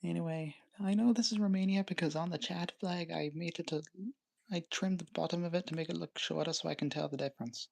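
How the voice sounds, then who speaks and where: A woman from Canada on a casual live stream, naming items one at a time in short, unhurried phrases. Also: lowish voice, steady tone, gravelly, a little mellow.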